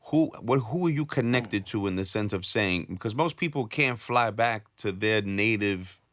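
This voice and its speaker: Low-voiced New podcast host. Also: male voice, animated, moderate pace, fairly bright tone, no room sound, clean studio sound. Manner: hyped, encouraging, addresses listeners. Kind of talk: casual interview chat.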